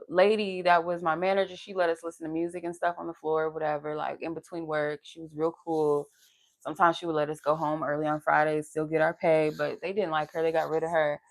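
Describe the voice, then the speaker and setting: A young adult woman with a soothing, pleasant voice, chatting casually and candidly with profanity and laughter on a live stream as a tarot reader. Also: on the low side. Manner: laughs while talking.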